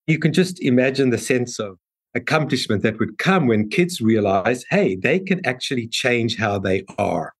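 A man with a South African accent, explaining things earnestly and in a measured way as an interview guest. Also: fairly low pitch, slightly rough. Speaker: podcast guest, developmental pediatrician.

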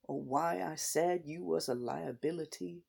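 A man voicing a big, calm middle-aged sheriff who speaks in a slow, measured drawl with quiet menace. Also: a little high, creaky, husky, full character voice.

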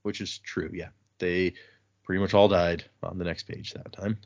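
A man's voice: low-pitched, highs cut off. Laid-back man reading listener mail. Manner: relaxed, halting, with restarts and fillers. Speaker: podcast host. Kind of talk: casual podcast chat, reading listener emails aloud.

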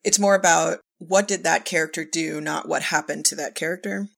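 A woman's voice: sits low, steady tone, normal speed, vocal fry, a bit bright. A woman talking casually on a podcast as a director and writing teacher, plainspoken and emphatic.

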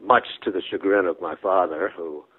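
A man in his nineties from Brooklyn, a guest telling long, rambling anecdotes that trail off softly, with restarts and chuckles. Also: low-pitched, fairly even intonation, normal speed. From Brooklyn, New York. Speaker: interview guest. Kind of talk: casual storytelling interview. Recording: phone-like narrow band.

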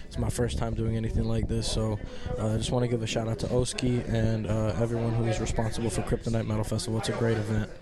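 A man's voice: fairly low pitch, monotone, quick pace, hardly any pauses, loud music behind the voice. A man hosting a casual live interview in a pub, laid-back and swearing freely. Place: crowded live music pub. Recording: noisy crowded pub. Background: crowd chatter.

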